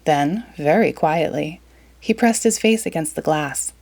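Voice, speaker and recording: A woman narrating a children's storybook in an animated, expressive read-aloud. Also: sits low, a little slow, vocal fry.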